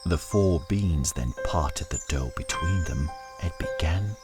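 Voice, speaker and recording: A man with a very low voice tells a folktale as a scripted narration. His delivery is solemn and dramatic, taken at a very slow, deliberate pace. Music plays clearly behind him. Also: expressive. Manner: measured, solemn, deliberate phrasing. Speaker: storyteller and narrator. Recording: studio narration over music.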